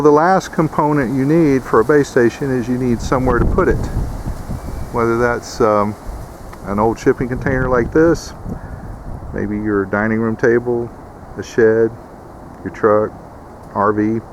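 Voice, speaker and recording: A man's voice: medium pitch, a little hiss or hum, poor audio. A man giving a casual, plainspoken instructional vlog in a folksy way, like a host showing viewers his homemade setup. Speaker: host of homesteading video channel.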